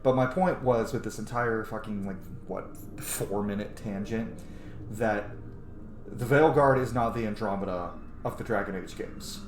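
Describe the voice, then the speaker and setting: A man's voice: fairly low pitch, a little slow. A man in his thirties giving casual, rambling, opinionated gaming commentary as a let's play host, swearing freely. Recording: echoey room, noisy game ambience. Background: video game ambience.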